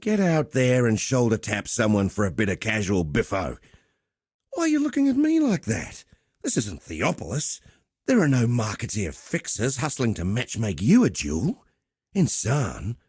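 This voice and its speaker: Swaggering, wildly animated arena promoter. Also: male voice, mid-range voice, slightly rough, dry recording, studio quality, exaggerated voice. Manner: theatrical, chummy, flowery slangy patter. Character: swaggering, cheerful arena fight promoter, roguish showman. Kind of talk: video game character voice lines.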